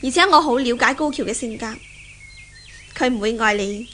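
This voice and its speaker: A righteous young woman standing firm in a 1970s radio drama. Her voice is high and bright, with a Hong Kong Cantonese accent. She comes across as earnest and unbending. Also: normal speed, a little hiss or hum. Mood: indignant. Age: young adult. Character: stubborn, principled young woman defending her love.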